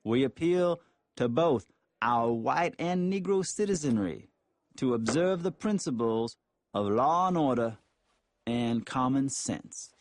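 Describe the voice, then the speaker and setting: Male reader for a formal letter, measured phrasing with widely moving pitch, very dry close sound. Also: expressive, dry recording. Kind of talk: formal reading aloud of a written letter.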